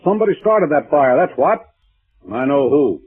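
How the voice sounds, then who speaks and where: A man's voice: a little high, big pitch swings, normal speed, husky. Brusque, domineering businessman, swooping delivery. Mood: curt, accusing. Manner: brusque, irritable, barking. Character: gruff, rude, domineering businessman uncle, suspicious and impatient. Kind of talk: old-time radio crime drama acting. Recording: lo-fi vintage radio, music behind.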